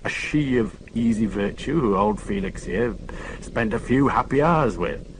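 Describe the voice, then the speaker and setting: A man's voice: medium pitch, animated, a little slow, gravelly, slight background noise, rough recording. A man reading an audiobook, voicing a dry, sardonic middle-aged detective inspector.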